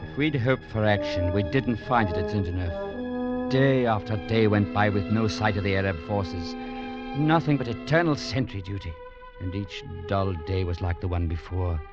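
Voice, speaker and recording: An actor playing a weary young legionnaire, gravely and eloquently narrating desert hardship in a 1940s radio drama. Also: male voice, lowish voice, moderate pace, close to an everyday voice. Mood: grim, foreboding. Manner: grave, literate storytelling. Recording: muffled vintage radio recording, music behind.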